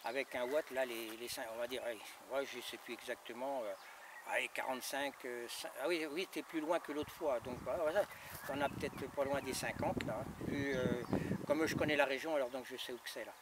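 A man's voice: medium pitch, fairly even intonation, fairly quick. Man on radio, distant and noisy. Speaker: amateur radio operator. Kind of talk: casual radio check chat. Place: outdoors in a gravel yard by a house. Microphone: camera a few metres away. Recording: distant camera mic, noisy open air.

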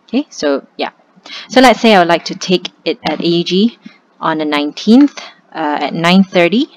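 A young woman, tutoring in a relaxed, conversational step-by-step screen walkthrough. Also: on the low side. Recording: slightly muffled with faint hiss.

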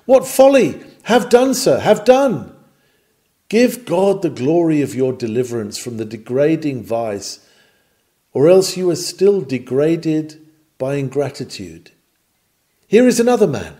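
A man's voice: a little high, very animated, unhurried, warm tone. Man reading a sermon with sweeping intonation. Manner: oratorical, emphatic, declamatory. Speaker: narrator reading a historical sermon. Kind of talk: dramatic sermon reading, scripted.

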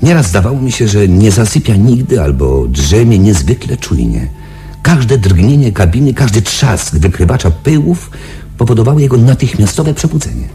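An actor playing a shaken young space patrol pilot, confiding his story in a halting, dramatic radio-play delivery. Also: on the low side, very animated, mellow, faint music behind. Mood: subdued, reflective.

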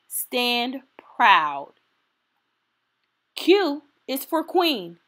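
Female storytime reader, fairly high voice, very animated intonation, unhurried and encouraging, for a children's picture book. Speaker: storytime host reading aloud.

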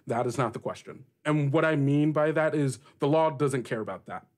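A man with a mid-pitched voice runs a tabletop role-playing game. He narrates scenes and puts questions to the players. His phrasing is deliberate and halting, as he feels out each piece of the sentence. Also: lively intonation. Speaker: game master.

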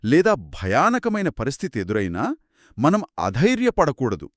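A man's voice: medium pitch, huge pitch swings, normal speed, no echo, clean studio sound. A man co-hosting scripted children's storytelling in dialogue, speaking in a reactive, theatrical way. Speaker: co-host storyteller.

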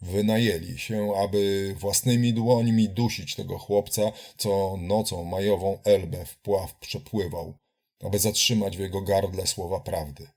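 A man's voice: low-pitched, steady tone, measured pace, darkish tone. A man giving a dramatic reading of a political essay in a solemn, accusatory, declamatory manner. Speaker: reader of political writings.

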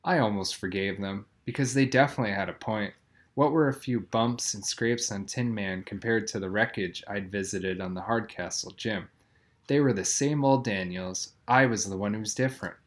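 A man's voice: fairly low pitch, normal speed. A man reading a children's novel aloud, telling the story expressively with plenty of emphasis. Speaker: audiobook reader.

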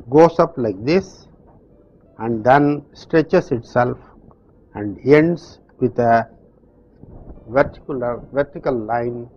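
An older man from South India with a South Indian accent, teaching in slow, deliberate steps in front of a chalkboard. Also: mid-range voice, darkish tone, husky, highs cut off. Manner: slow, deliberate explanations with pauses. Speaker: language teacher. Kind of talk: slow classroom instruction. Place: classroom with chalkboard.

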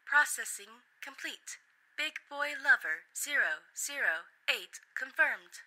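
A woman plays a cartoon computer with a very high, extremely bright voice. It is formal and impassive, recorded dry. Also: lively intonation, takes it slowly, no echo, exaggerated voice, low-quality recording. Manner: formal, procedural.

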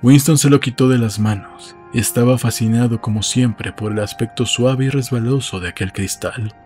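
A man's voice: mid-range voice, moderate pace, darkish tone, faint music behind. A man reading scripted literary narration as the narrator of an audiobook.

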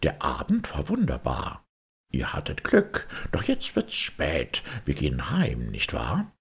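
Man playing a callous, husky-voiced carpenter. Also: rumbling low, huge pitch swings, takes it slowly, fairly bright tone, heavily acted. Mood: mock polite. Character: callous, gluttonous male carpenter.

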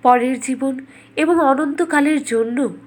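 A woman with a highish voice narrates a scripted fairytale. She tells it as the storyteller of a cartoon bedtime story. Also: unhurried, a little mellow.

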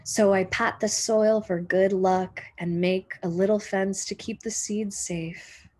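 Slow, husky woman reading a storybook. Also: mid-range voice, steady tone, darkish tone. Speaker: teacher reading a picture book aloud. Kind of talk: expressive children's storybook read-aloud.

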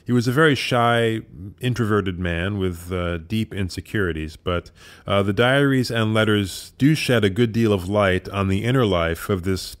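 A man's voice: lowish voice, normal speed. A man hosting an audio drama program, giving semi-scripted commentary with thoughtful, informal asides.

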